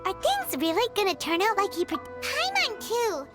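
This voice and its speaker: A tiny floating girl companion, excitable and chatty, with a piercing high squeak that leaps wildly up and down. She whines and bounces through every line, with a game soundtrack swelling loudly behind her. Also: moderate pace, over loud music, full character voice. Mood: curious. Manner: calls herself in the third person, bouncy and whiny. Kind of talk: game cutscene voice acting.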